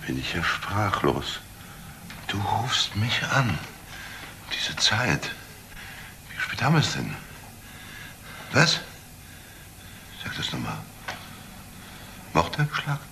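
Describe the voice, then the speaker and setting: An actor playing a defensive, resentful middle-aged alcoholic in a crime drama, halting and repeating himself. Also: male voice, fairly low pitch, wildly swooping pitch, creaky, pushed and bright, a little hiss or hum, exaggerated voice. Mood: startled. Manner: halting, repetitive, agitated. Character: defensive, resentful alcoholic brother, weak-willed. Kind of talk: German crime drama acting.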